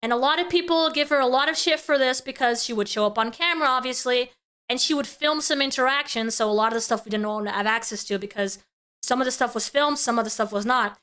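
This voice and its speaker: A woman's voice: a little high, fast talker, pushed and bright, smooth. A woman giving casual live reaction commentary as a streamer, emphatic and exasperated in her opinions.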